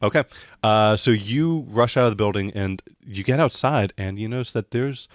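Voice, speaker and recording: A man acting as game master, improvising narration for a tabletop game in a conversational, halting way. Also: lowish voice, dry recording, clean studio sound.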